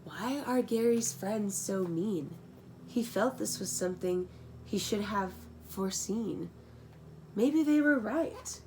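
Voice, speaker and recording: A woman's voice: medium pitch, expressive, takes it slowly, a little mellow, slight background noise. A young adult woman reading a rhyming children's storybook aloud, breaking into slangy, casual, giggly asides.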